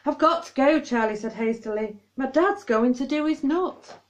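A woman's voice: high voice, moderate pace. A woman reading a children's story aloud on a lo-fi home recording. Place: high-ceilinged living room.

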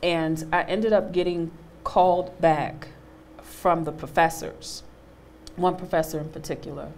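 A woman in her thirties with a low voice tells a story on a studio panel stage. She brings her tale to life by acting out the people she quotes. Her lapel mic catches a faint hum of the room. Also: steady tone, unhurried, a little mellow. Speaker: panel guest, actor. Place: studio stage with a small audience.

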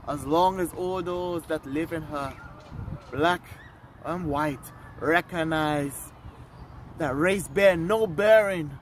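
A man playing a proud, earnest African royal heir in a dramatic monologue, addressing his people in a solemn, deliberate, oratorical way. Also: high-pitched, slight background noise, rough recording. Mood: grave, resolute. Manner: slow, deliberate, solemn delivery with weighted pauses.

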